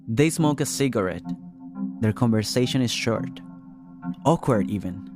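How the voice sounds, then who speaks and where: A man's voice: mid-range voice, lively intonation, measured pace. A man giving scripted first-person narration as a podcast reporter. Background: music.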